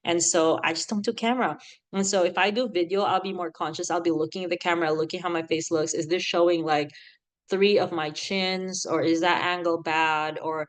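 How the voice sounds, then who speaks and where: Filipino-accented woman, thirties, lively and chatty, a bit low-pitched, podcast advice talk. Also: brisk. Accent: Filipino, with an American lilt. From Philippines. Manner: animated, chatty, laughs often. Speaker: podcast host giving advice.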